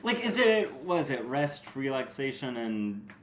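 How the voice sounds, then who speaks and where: A man's voice: mid-range voice, big pitch swings, measured pace, a crowd in the background. A man co-hosting a casual book discussion, rambling and joking with plenty of swearing. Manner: rambling, jokey, profane, acts out imagined dialogue. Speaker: podcast co-host. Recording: echoey room.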